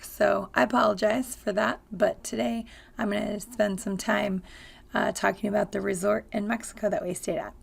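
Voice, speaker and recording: A woman with a hoarse, raspy voice, chatting casually in a travel vlog with frequent ums and uhs. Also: mid-range voice. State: hoarse from screaming. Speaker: travel vlogger.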